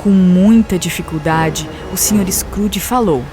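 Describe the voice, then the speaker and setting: A woman reading scripted narration for an animated children's story. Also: on the low side, measured pace, over music.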